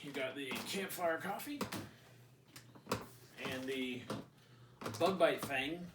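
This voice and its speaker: A man's voice: fairly high pitch, slow, reverberant, distant mic, noisy background. A man hosting a casual gear review at home, rambling and joking as he thinks aloud. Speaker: channel host. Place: indoors at home.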